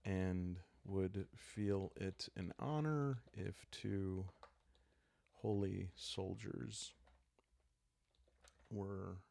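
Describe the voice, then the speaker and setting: A man speaking softly and calmly in ASMR style, improvising a story aloud as he hosts a solo roleplaying game, thinking out loud with long pauses. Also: low-pitched, creaky. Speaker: host playing a solo journaling roleplaying game.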